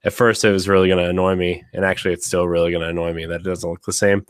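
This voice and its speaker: A man with a very low voice hosts a casual podcast, a little raspy from a week of sickness. He rambles along with lots of fillers and restarts. The sound is close and dry. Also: little pitch movement, very fast, dry recording. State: slightly raspy from being sick. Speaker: podcast host. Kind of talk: casual chat.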